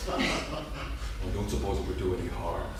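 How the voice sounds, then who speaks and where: A man's voice: deep voice, animated, unhurried. A man playing a plain-spoken, restrained father and son in a family drama, in a staged script reading. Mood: subdued. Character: exasperated but restrained adult son and father. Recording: very echoey, noisy hall from far off.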